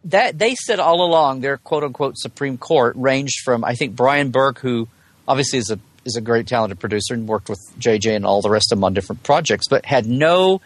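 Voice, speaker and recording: Man, mid-pitched voice, dry close sound, casual fan analysis, digressive, colloquial, rephrases mid-thought. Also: lively intonation, brisk, no room sound. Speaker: podcast guest, Star Trek blogger.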